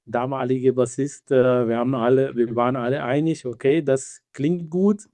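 A man with an Israeli accent and Hebrew as his first language, answering interview questions about his band in halting, filler-heavy speech with some non-native grammar. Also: mid-range voice, steady tone, mellow, dry recording. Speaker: band member.

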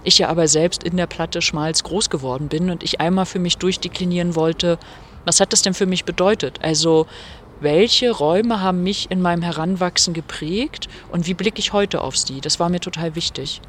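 A woman's voice: on the low side, moderate pace, slight background noise. A middle-aged woman from northern Germany giving reflective, articulate interview answers in long, explanatory sentences. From Rostock, northern Germany. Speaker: interviewed author.

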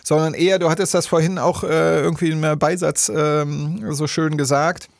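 A man's voice: a little high, little pitch movement, a little fast, vocal fry, no echo, clean studio sound. A man co-hosting a casual discussion, talking hesitantly with many fillers and tag questions.

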